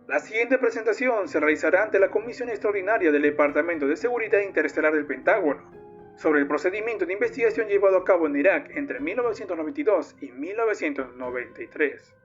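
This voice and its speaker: A man's voice: sits high, lively intonation, fast, dull audio, faint music behind. A man playing a formal, solemn documentary narrator, reading an official report in a scripted analog horror video. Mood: solemn, ominous.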